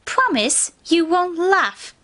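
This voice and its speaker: A young cartoon mare with an extremely high, swooping voice. She is petulant and full of herself, yet insecure underneath, and plays it theatrically. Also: sing-song, slow, pushed and bright, heavily acted. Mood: wistful. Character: haughty yet insecure young female pony, sulky. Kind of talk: cartoon fan fiction voice acting.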